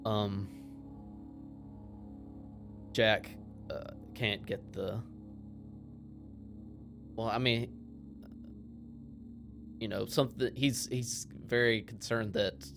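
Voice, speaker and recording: A man playing a tabletop roleplaying game, haltingly improvising what his character does. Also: lowish voice, steady tone, vocal fry, music in the background.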